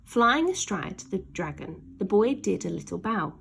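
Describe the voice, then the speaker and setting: A woman narrates a children's storybook expressively. Her pitch moves freely, her pace is slow, and her voice has a creaky grain. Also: big pitch swings, fairly bright tone. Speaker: storyteller reading a children's book.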